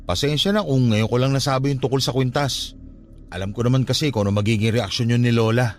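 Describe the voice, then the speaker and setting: A man's voice: mid-range voice, fairly even intonation, fast, music in the background, lightly acted. An actor playing a defensive, curious young nephew in a radio drama, protesting and questioning his aunt. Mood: sheepish confessing.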